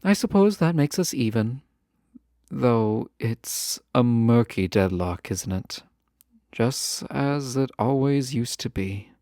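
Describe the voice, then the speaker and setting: A man plays a lovestruck fantasy nobleman who talks slowly and haltingly in formal, old-fashioned phrases. He is tender and wistful with a teasing streak. The recording is close and dry, made for a romantic audio drama. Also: medium pitch, animated, dark tone, no echo. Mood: wry. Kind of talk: romantic audio roleplay acting.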